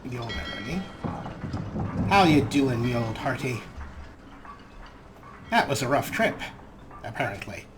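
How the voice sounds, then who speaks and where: Let's play host, male, mid-pitched, wry and deliberating, clear game noise behind him. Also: sing-song, a little slow, pauses often. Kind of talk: gaming commentary in third person about his character.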